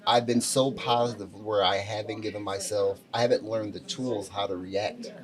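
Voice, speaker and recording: A man hosting a casual podcast, talking in an upbeat, animated way and laughing easily. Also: fairly low pitch, moderate pace, a crowd in the background. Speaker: podcast host. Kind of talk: casual conversation.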